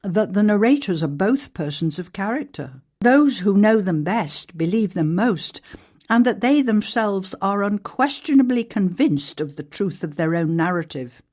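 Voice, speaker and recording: A woman narrating an archaic newspaper account as a ghost story, in a measured, formal storytelling manner. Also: medium pitch, animated, darkish tone. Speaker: actor reading a historical newspaper article as a ghost story.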